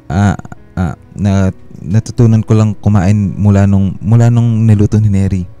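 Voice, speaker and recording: A man's voice: lowish voice, moderate pace, mellow, clean tone, natural voice. An actor playing an affectionate husband and father in a radio drama. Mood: defensive. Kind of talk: Filipino radio drama acting. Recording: music behind the voice.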